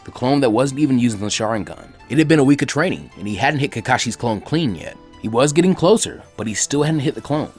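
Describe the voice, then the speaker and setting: A man narrating a fan fiction story in a quick, animated storytelling manner. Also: medium pitch, soft background music.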